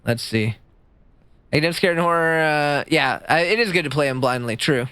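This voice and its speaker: A man streaming live with a squeaky voice, chatting with his chat in a rambling, jokey way that jumps up high when he gets excited. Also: normal speed, clean tone, dry recording. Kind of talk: casual livestream chat reading chat. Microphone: streaming mic.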